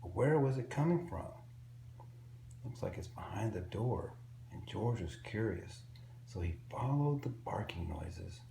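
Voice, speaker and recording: A man reading a picture book aloud to young children in an expressive, simple storytelling way. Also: on the low side, measured pace, creaky. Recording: roomy echo with faint background noise.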